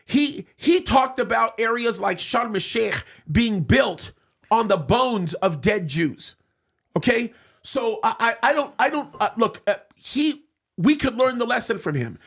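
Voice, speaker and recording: High, gravelly emphatic man. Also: lively intonation, unhurried, a bit bright. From United States, of Egyptian parents. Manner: emphatic, with many restarts and repeated words. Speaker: political commentator in a studio talk show. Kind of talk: heated opinion talk. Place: studio.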